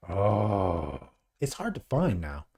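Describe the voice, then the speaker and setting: A man's voice: low voice, wildly swooping pitch, slow, darkish tone, slightly rough. A man in his late forties co-hosting a live stream, chatting casually and reading viewer comments aloud in a rambling, joking way. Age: 40s. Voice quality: graying beard mentioned, easygoing. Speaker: co-host of a live miniature painting stream.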